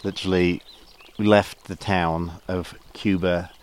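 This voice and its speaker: A man with a very low voice chats about a long day on the trail in a relaxed, casual way, now and then swearing. He is worn out from hiking. He is recorded outdoors at a campsite on a clip-on mic. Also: measured pace, clean tone. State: tired from hiking. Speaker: hiker vlogging a thru-hike. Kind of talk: casual trail diary chat. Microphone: clip-on mics.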